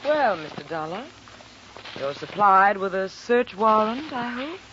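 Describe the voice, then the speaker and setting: A woman's voice: mid-range voice, expressive, unhurried, natural voice. A woman playing a guarded, defiant widow in a 1940s radio crime drama, with a dramatic, cool and cutting delivery. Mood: cool suspicion. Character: guarded, defiant wife of a murdered man. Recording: noisy, dull 1940s radio recording.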